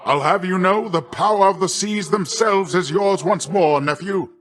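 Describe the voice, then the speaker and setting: An actor playing a boastful, middle-aged sea god in a video game, a hearty and doting uncle with a grandiose, jovial, theatrical delivery. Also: male voice, sits high, moderate pace, a bit bright. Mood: boastful, encouraging. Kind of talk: video game character voice lines.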